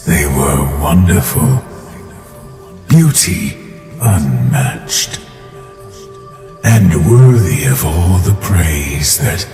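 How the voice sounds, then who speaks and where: In a cavernous reverb over music, an ancient, amused and menacing deity for a cartoon drama. The voice is low, grand and extremely slow, measuring out each phrase. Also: male voice, lively intonation, full character voice. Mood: solemn, grand. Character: ancient, lordly male sky god, amused, menacing. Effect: long godlike reverb.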